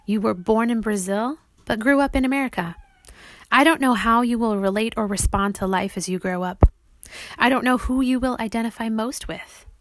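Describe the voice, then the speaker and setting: A woman's voice: a little high, normal speed, slight background noise. A woman reading an audiobook as its author, explaining things simply, as if to young children.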